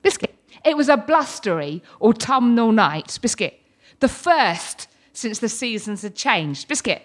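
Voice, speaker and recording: Female British storyteller, high-pitched and slow-paced, with frequent verbal tics breaking into her lines. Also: big pitch swings. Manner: frequent involuntary vocal tics interjecting stray words mid-sentence. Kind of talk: live personal storytelling.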